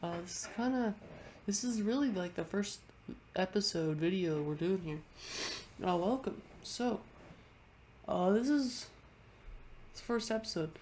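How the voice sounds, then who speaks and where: A woman's voice: mid-range voice, animated, unhurried, pauses often, a little mellow, slight background noise. A congested woman vlogger chatting casually into her webcam at home, rambling and often restarting her sentences. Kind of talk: casual chat ranking things on a tier list.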